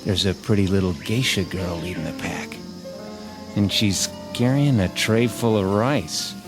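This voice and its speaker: An American actor playing a sardonic, war-weary infantry soldier who wisecracks in a radio drama. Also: male voice, low voice, measured pace, music in the background. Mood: teasing, watchful. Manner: drawling, sardonic.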